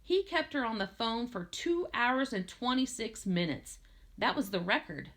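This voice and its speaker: A woman reading a first-person novel aloud in an expressive storytelling style. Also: a little high, normal speed. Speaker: audiobook reader.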